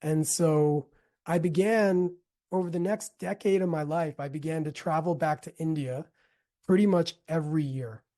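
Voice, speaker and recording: A middle-aged American man giving a reflective talk as a teacher, earnest and deliberate, repeating phrases for emphasis. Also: a little high, warm tone. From United States. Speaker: yoga teacher and speaker. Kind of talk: reflective spoken talk with personal storytelling.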